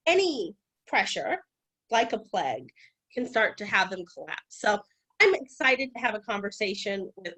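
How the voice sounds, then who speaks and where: Woman, lecturer, conversational, animated, wide pitch. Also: rough recording. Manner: animated, conversational, occasional restarts. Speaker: guest lecturer. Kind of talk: public talk on ancient history.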